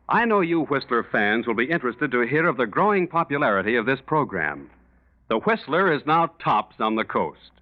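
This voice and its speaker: A male radio announcer delivering a polished, emphatic scripted sponsor message in 1940s style. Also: mid-range voice. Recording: dull lo-fi 1940s radio recording.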